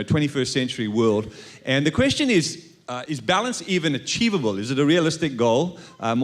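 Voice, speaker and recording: An upbeat man hosting a panel on a church stage. He talks with many filler uhs, and his pitch sits at a middle level. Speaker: host. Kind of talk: onstage panel interview. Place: church stage at a live service.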